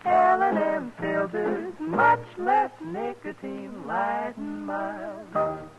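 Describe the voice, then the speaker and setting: A man sings a very slow vintage radio jingle in a high voice. Faint music plays behind him. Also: sing-song. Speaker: advertising jingle singer. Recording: dull 1950s radio recording.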